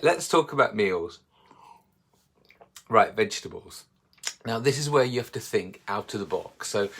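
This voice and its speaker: Deep-voiced man coaching casually. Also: fairly bright tone. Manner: chatty, encouraging, addresses viewers as guys. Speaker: slimming coach. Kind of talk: live social media talk.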